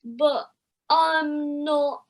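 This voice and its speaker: A woman's voice: high voice, steady tone, extremely slow. A woman playing a dim, eager weasel henchman who blurts things out naively in a children's audio play. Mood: naive, puzzled. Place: living room at home, recorded over video call.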